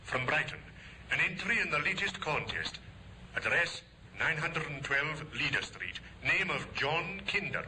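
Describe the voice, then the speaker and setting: Dutiful male police sergeant with a very sharp, bright tone, faint hiss on the old broadcast. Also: on the high side, very animated, unhurried, heavily acted, rough recording. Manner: clipped, dutiful reporting. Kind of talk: 1950s radio drama acting.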